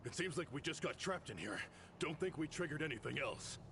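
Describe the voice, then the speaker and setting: An actor playing a steady male mercenary in a video game, measured and matter-of-fact. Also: a little high. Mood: calm resolve. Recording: game audio with clear background noise.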